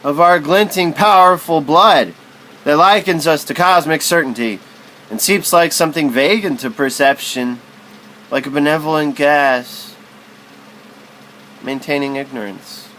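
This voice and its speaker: A man's voice: a little high, unhurried, a bit bright, slight background noise, low-quality recording. A man reading literary prose aloud as spoken word, in a musing, philosophical manner.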